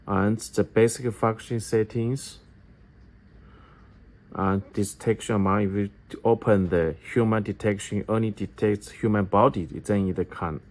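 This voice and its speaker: A man giving a step-by-step product tutorial in halting English, often repeating his phrases. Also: fairly low pitch, steady tone, darkish tone. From China. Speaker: product demonstrator for an electronics company.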